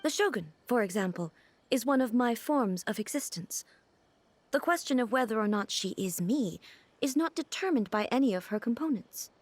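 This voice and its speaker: An actress playing a calm, formal female ruler goddess in a video game, speaking in a measured, reflective way. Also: fairly high pitch, dry recording. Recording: game soundtrack under voice.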